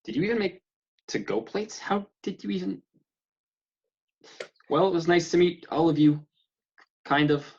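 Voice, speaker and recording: A man's voice: on the high side, animated, moderate pace, pauses often, a little mellow, breathy edge. An actor playing an awkward, polite young man, hesitant and baffled, in a comedic script read over a dull connection. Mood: flustered. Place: recorded remotely at home on a video call.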